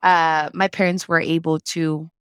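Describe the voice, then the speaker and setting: A woman's voice: sits low, flat delivery, unhurried, clear, resonant tone, no room sound, studio quality. A woman, reflectively telling her story as an interview guest and slipping Spanish phrases into her English. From Mexico.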